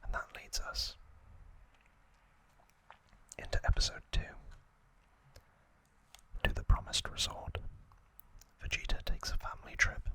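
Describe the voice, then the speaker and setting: A man hosting an ASMR video, narrating a recap in a soft, breathy, unvoiced whisper, in short phrases with pauses. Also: poor audio.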